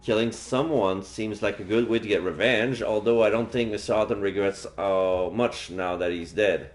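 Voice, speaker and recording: A man's voice: on the low side, normal speed. A man reading visual novel dialogue and narration aloud as a game streamer. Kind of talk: live read-aloud of visual novel lines. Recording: faint game music behind.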